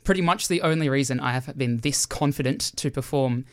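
A man's voice: medium pitch, fairly quick. A teenage male student singer answering interview questions hesitantly, with many ums and self-deprecating asides.

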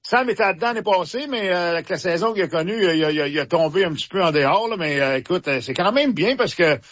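A man's voice: high-pitched, expressive, fast talker, fairly bright tone. A man with a Quebec French accent, a former hockey player chatting casually as a sports commentator, rambling with many restarts and fillers. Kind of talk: casual radio sports chat. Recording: muffled radio broadcast.

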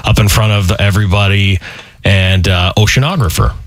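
A man's voice: deep voice, fairly even intonation, moderate pace, no room sound. A man co-hosting a radio show as a teasing, agreeable sidekick. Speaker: radio co-host. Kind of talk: casual radio banter.

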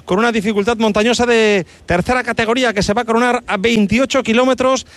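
A man giving a quick, lively sports preview on the radio. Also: very high-pitched, dry recording. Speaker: sports reporter.

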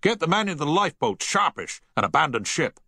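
A man's voice: on the high side, very animated, fast, pushed and bright, slightly rough, dry recording, clean studio sound, voice pushed up, full character voice. A man voicing a commanding ship captain under fire who barks his orders, his voice raised well above his own.

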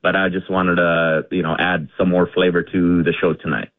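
A man from India in his forties calling into a sports radio show, rambling and repeating himself excitedly. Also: low voice, moderate pace. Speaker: caller. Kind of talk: call-in radio chat. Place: home garage. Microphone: phone. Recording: tinny phone line.

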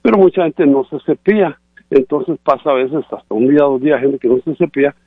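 A man's voice: a little high, fairly quick, breathy edge, no room sound. A man calling in to a radio show, explaining dental care in a casual, repetitive way. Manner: explanatory, repeats points. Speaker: regular guest presenting sponsor. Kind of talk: casual radio chat, promotional. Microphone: phone call. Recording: tinny phone line.

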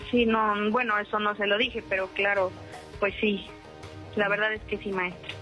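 A woman's voice: medium pitch, flat delivery, moderate pace, pushed and bright, faint music behind, poor audio. A woman, calling in hesitantly and deferentially for advice. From El Salvador. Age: 50s. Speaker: caller seeking advice. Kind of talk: recounting a personal problem.

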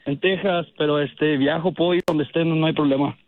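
High-voiced young male caller, dull phone sound. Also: fairly even intonation, a little fast, heavy background noise. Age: 20s. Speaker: radio show caller. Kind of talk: informal on-air phone conversation. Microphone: telephone call to radio studio.

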